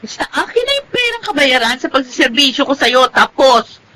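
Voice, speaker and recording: A woman's voice: high voice, sing-song, moderate pace, bright, forceful tone, a little hiss or hum, heavily acted. A woman playing a brash, mercenary mistress, sassy and impatient, in a melodrama. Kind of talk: Tagalog radio-style melodrama acting.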